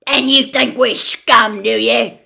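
A woman's voice: fairly high pitch, steady tone, slow, creaky, pushed and bright, pitched above the performer's own voice, exaggerated voice. A woman voicing a furious, bullying old male wizard in a storybook reading, bellowing and jeering with contempt. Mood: enraged. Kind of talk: audiobook-style character reading.

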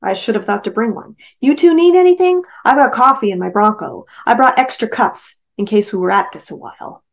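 A woman's voice: on the high side, animated, fairly quick, gravelly. A woman voicing an easygoing, chatty male rancher and veteran in a novel reading, self-deprecating and joking.

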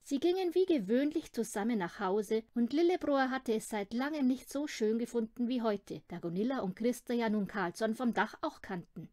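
A woman's voice: fairly high pitch, normal speed, hardly any pauses, mellow, no room sound, clean studio sound. A woman reading a children's audiobook, narrating the story expressively. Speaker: audiobook reader.

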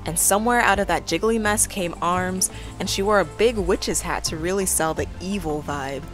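A woman's voice: lowish voice, normal speed, faint music behind, lightly acted. A woman playing an awkward, sarcastic girl in an audio drama, talking in a casual, wry way. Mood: wry narration. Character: awkward, sarcastic girl, reluctant dream apprentice. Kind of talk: audio drama acting and narration.

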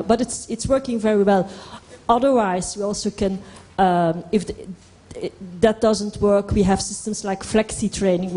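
A middle-aged Flemish woman explains her work on a conference panel, halting and repeating words for emphasis, into a handheld microphone. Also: medium pitch, dark tone. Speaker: panelist from an employment service. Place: indoor conference hall stage.

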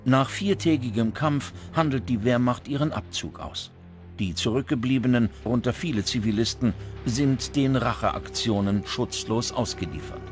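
Male documentary narrator, scripted delivery, medium pitch, music underneath. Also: normal speed. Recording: music bed behind the voice.

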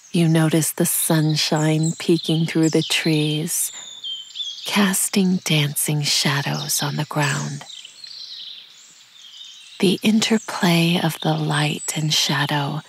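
A woman narrating a guided meditation in a calm, soothing, measured way, with a soft, breathy voice that often sinks to a near-whisper. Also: deep voice, slight background noise. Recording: birdsong and forest ambience behind. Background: birdsong, insects, nature ambience.

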